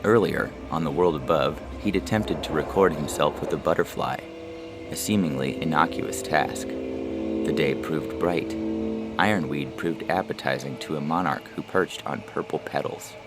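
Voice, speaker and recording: A man's voice: a bass voice, moderate pace. A man narrating a scripted science fiction short story. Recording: loud music under the voice. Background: loud music.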